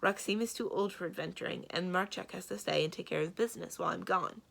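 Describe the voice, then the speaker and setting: A woman voicing a headstrong, capable young princess in a storybook, brisk and self-assured, quick to retort. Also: on the low side, creaky, a bit bright, full character voice. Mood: frank, amused. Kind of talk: storybook read-aloud.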